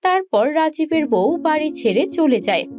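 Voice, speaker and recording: Female cartoon storyteller: high voice, scripted narration over music. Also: big pitch swings, moderate pace.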